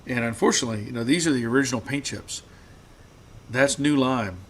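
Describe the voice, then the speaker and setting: A man hosting a live stream from his garage, answering viewer comments in a relaxed, halting way, repeating words, his voice getting rough after long talking. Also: mid-range voice. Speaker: host.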